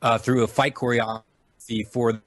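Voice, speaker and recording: An American man with a medium-pitched voice talks as a guest over an internet call. He is eager and chatty, rambling through his stories in a casual interview style. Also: little pitch movement, moderate pace. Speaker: guest, actor and film director.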